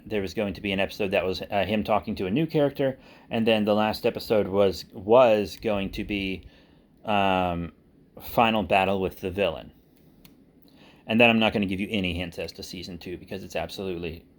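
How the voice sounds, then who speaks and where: Male video creator, lowish voice. Informal update delivery, loose and self-correcting, thinking out loud. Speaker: online video creator and writer. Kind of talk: casual rambling update to viewers.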